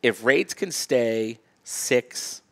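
A man's voice: mid-range voice, animated, very slow, vocal fry, fairly bright tone. A man appearing as a real estate guest, giving confident, explanatory interview answers. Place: TV-style studio set.

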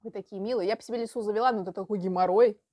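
A woman's voice: medium pitch, racing, warm tone, no room sound, clean studio sound. A woman in her twenties chatting casually and bluntly as she reacts to a video at home. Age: 20s. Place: small room at home with bookshelves.

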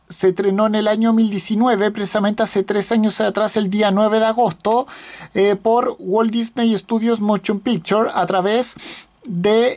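Woman, slow, halting delivery, fillers, casual film review. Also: medium pitch, a little mellow. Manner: hesitant, many fillers and restarts. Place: indoors, at a computer screen.

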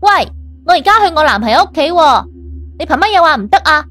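An actress playing a possessive, sharp-tongued young girlfriend in an audio drama, demanding and indignant. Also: high voice, lively intonation, fast. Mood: jealous indignation. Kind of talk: Cantonese audio drama acting.

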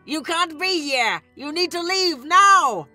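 Woman as a worried skeleton hero, high, bright, earnest, loyal, cartoon dub, faint music. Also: lively intonation, slow, full character voice. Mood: urgent. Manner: earnest, pleading. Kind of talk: animated comic dub voice acting.

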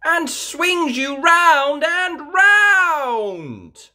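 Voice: high-pitched, lively intonation, drawn-out words, pushed and bright, pitched above the performer's own voice, heavily acted. A woman voicing a cheerful, eager little girl pig in a children's storybook. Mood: excited, gleeful. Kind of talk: children's storybook read aloud.

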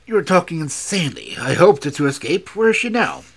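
A narrator voicing a scornful, agitated old male professor in an audiobook, loud, blustering and emphatic. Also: mid-range voice, big pitch swings, brisk, husky. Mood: furious, frantic.

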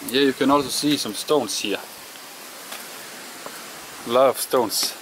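Slow-talking man exploring. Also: mid-range voice, a bit bright, a little hiss or hum, low-quality recording. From Denmark. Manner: musing, halting, talks to himself while exploring. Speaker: urban explorer. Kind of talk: casual walkthrough narration. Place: abandoned house and barn, indoors and outdoors.

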